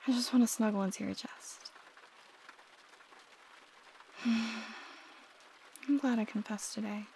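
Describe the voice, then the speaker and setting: A woman acting as a loving young female roommate with a fairly high, soft voice that often slips into a whisper. She talks intimately to the listener in an ASMR roleplay, shy but playfully teasing. There is clear noise behind the recording. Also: steady tone, unhurried. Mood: tender, sleepy.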